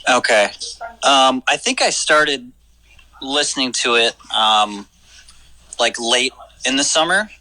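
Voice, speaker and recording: A young man from Michigan calling in to a podcast, talking casually and candidly with halting restarts. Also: medium pitch, bright, forceful tone, low-quality recording. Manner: candid, self-deprecating, halting. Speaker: caller. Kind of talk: casual phone chat.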